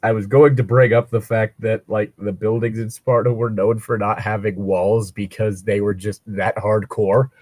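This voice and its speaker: A man's voice: fairly low pitch, flat delivery, moderate pace, hardly any pauses, a little mellow, dry recording. A man co-hosting a casual fan fiction read-along, riffing with wry asides as he reads. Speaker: co-host of a fan fiction reading show.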